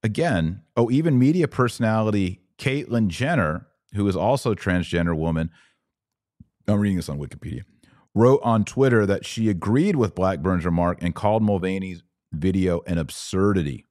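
A man's voice: deep voice, expressive, normal speed, a little mellow, no room sound, clean studio sound. An American man hosting a podcast, delivering opinion commentary in an emphatic, preachy manner full of rhetorical questions. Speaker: podcast host.

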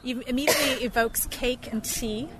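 A woman's voice: a little high, moderate pace, very noisy. A woman from Toronto with a Canadian accent, chatting cheerfully about her surroundings outdoors by a canal. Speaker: interview guest. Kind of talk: casual interview chat.

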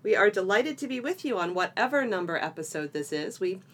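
A woman's voice: medium pitch, big pitch swings, fairly quick. A middle-aged woman with a mid-range voice talks as a podcast co-host. Her style is casual and chatty, and she banters playfully as she goes.

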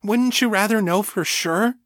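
A man's voice: high voice, unhurried, a bit bright, no echo, clean studio sound, pitched above the performer's own voice. A man voicing an anxious woman in an audiobook story, earnest, urgent and hesitant as a pleading friend.

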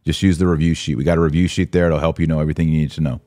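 A man's voice: a bass voice, steady tone, racing, warm tone, no room sound, clean studio sound. An American man hosting a live podcast, commenting and answering viewers in an emphatic way, repeating phrases for stress. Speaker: podcast host.

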